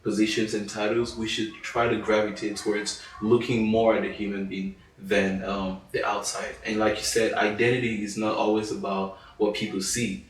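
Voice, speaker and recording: A man's voice: fairly low pitch, flat delivery, normal speed, few pauses. A man from Cameroon, a French speaker, co-hosting a casual discussion in an earnest, thoughtful way. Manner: earnest, thoughtful, with many you-knows and restarts. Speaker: podcast co-host. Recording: echoey room, far from mic.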